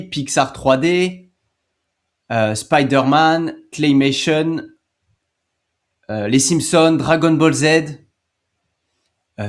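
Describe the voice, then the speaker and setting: A man hosting a tutorial in a casual, explanatory way, talking informally and directly to the viewer. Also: fairly high pitch. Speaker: tutorial host.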